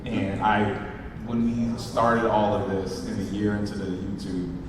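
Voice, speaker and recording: A man co-hosting a convention panel, chatting in an animated, conversational way. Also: fairly low pitch, measured pace, noisy background. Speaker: panel co-host. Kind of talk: casual convention panel talk. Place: large indoor convention panel room. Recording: echoey hall, distant, crowd murmur.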